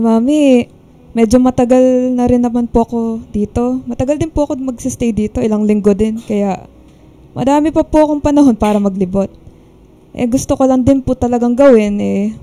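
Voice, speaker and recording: A woman's voice: fairly high pitch, normal speed, mellow, natural voice. An actress playing a burned-out woman in her twenties, polite but feisty when provoked, in a Filipino radio drama. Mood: polite, weary.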